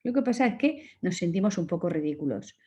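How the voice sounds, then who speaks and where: A woman from Murcia with a Murcian Spanish accent, giving an online lecture as a communication course instructor in a calm, instructive way, with direct advice. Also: fairly low pitch, brisk, dull audio. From Murcia, Spain.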